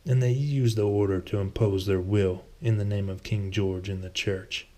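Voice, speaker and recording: A man voicing a blunt, bitter, stubborn swordsman in a storybook reading, curt and gravelly. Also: on the low side, steady tone, moderate pace, warm tone, lightly acted. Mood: scornful. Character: gruff, bitter, stubborn swordsman, old friend.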